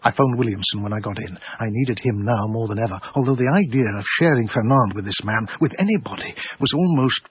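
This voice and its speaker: An actor playing an anxious, earnest small-time English art dealer in a radio drama, hesitant and self-effacing, with an English accent. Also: male voice, medium pitch, brisk, few pauses, slightly rough, no room sound, highs cut off, close to an everyday voice. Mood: reflective. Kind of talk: radio drama acting and first-person narration.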